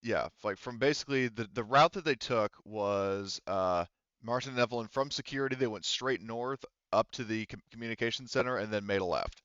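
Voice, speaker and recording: A man's voice: lowish voice, a little fast, a bit bright, dry recording, lo-fi sound. A man running a tabletop roleplaying game as game master, explaining the situation conversationally.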